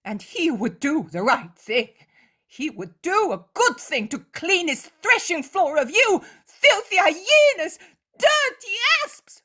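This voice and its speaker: A woman's voice: extremely high pitch, big pitch swings, slow pace, full character voice. A woman voicing an anguished male disciple who is torn by guilt and speaks with passion. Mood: furious. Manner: impassioned, shouting.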